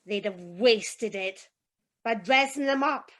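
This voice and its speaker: A woman's voice: on the high side, slow pace, a bit bright. A woman playing a callous, gloating scavenger in a radio play. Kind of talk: theatrical radio play acting.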